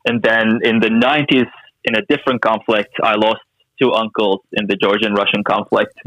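A man's voice: on the low side, level tone, normal speed, a bit bright, no echo, highs cut off. Podcast guest: young man from Syria, dry wit, very close dry sound. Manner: dry, joking storyteller. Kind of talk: casual chat.

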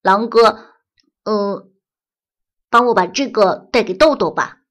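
A woman voicing a defensive, sulky young boy in a children's audio story, speaking loudly and quickly. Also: mid-range voice. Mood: sheepish.

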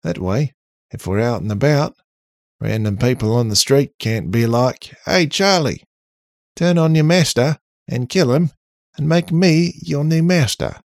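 A man voicing a cheeky, cocky, talkative robot in an animated, boastful, slangy way for an audiobook. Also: medium pitch, unhurried, no echo, clean studio sound, pitched above the performer's own voice, close to an everyday voice. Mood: playful. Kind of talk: audiobook character voice.

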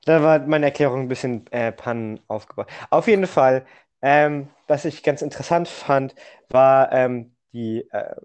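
A young man co-hosting a podcast in casual conversation, telling things in a halting way and often correcting himself. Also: mid-range voice, a little mellow, clear, resonant tone. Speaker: podcast co-host. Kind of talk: casual chat.

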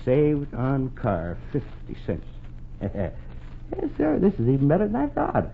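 A man's voice: medium pitch, huge pitch swings, a little slow, vocal fry, heavily acted. An actor playing an exasperated, penny-pinching middle-aged American father in a 1940s radio comedy, blustery and emphatic. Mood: smug. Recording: muffled, noisy 1940s radio recording.

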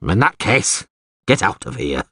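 Guy doing a blunt angry alderman, husky, commanding, pitch swings about. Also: very animated, unhurried, dry recording, clean studio sound, heavily acted. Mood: cold dismissal. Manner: forceful, accusing. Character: stern, outraged male town alderman, blunt and commanding.

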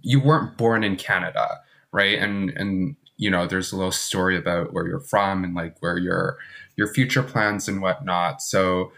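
Animated low-voiced podcast host man. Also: normal speed, gravelly. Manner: animated, lots of "like" and "right?". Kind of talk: casual chat.

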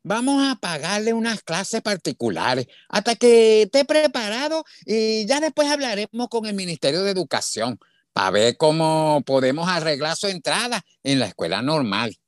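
A kind-hearted, decisive male benefactor in a radio soap, voiced by a man. His pitch leaps about, and the sound is very dry. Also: big pitch swings, normal speed, no echo. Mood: generous, resolute. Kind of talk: Spanish-language radio soap acting.

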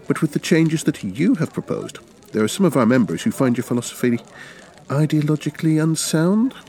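A man voicing a calm, principled revolutionary debating doctrine in a story reading, earnest and argumentative. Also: a little high, brisk, voice pushed up. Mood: wary. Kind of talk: dramatic short story reading.

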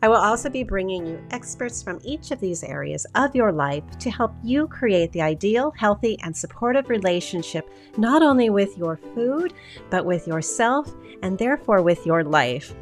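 Woman, podcast host, upbeat, steady listing cadence, faint music behind. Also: medium pitch, normal speed. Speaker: podcast host and health coach. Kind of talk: scripted podcast intro, then casual interview.

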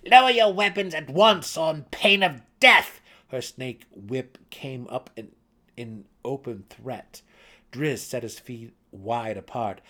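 A man's voice: on the low side, a little mellow. A man reading scripted fantasy narration in a dramatic, intense and theatrical way. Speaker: audiobook narrator.

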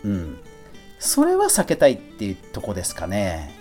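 A man's voice: on the low side, wildly swooping pitch, moderate pace, dark tone, soft background music. A middle-aged man hosting a podcast, talking casually and conversationally on his own with a self-deprecating touch. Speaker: podcast host. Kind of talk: casual solo talk answering a listener letter.

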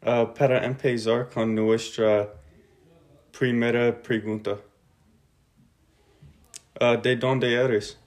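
A man co-hosting a podcast, reading out interview questions with a halting delivery. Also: mid-range voice, flat delivery. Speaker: podcast co-host.

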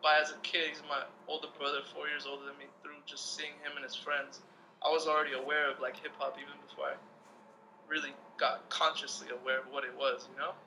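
A man's voice: on the high side, flat delivery, fast talker, pushed and bright, slight background noise, low-quality recording. A man in his thirties, from Lebanon and raised in the US, talking as an interview guest in a laid-back, rambling way with lots of fillers. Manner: laid-back, rambling, many fillers like "you know what I'm saying". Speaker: guest, breakdancer. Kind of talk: casual interview chat.

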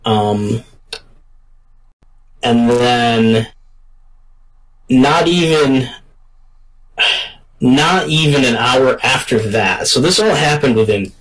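A man hosting a casual sports talk show, speaking in a deliberate, halting way. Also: mid-range voice, expressive, fairly bright tone, far from the mic, rough recording. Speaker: sports podcast host.

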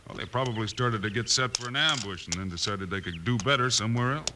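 A man playing a steady, shrewd and decisive Western lawman hero in an old radio drama. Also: fairly low pitch, quick pace. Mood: alert, determined. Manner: decisive, plain-spoken. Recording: noisy old radio recording.

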